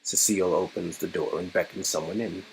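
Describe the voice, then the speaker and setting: A man's voice: deep voice, fairly even intonation, fairly quick, creaky, a little hiss or hum, low-quality recording. A man reading a story game aloud in a casual, wry way, mixing dramatic narration with informal banter. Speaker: reader performing a story game.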